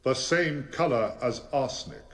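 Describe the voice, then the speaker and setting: An actor playing a formidable English defence barrister in a radio drama, commanding, deliberate and rhetorical. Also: male voice, medium pitch, fairly bright tone, close to an everyday voice. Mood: probing, firm.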